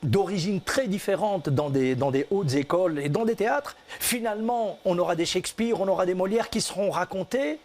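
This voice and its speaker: A man with a notably high voice speaks with conviction as a guest in a TV studio interview. He stresses his points and repeats them for weight. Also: animated, fairly quick, few pauses, darkish tone. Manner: earnest, emphatic, repeats key points. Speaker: theatre director and actor.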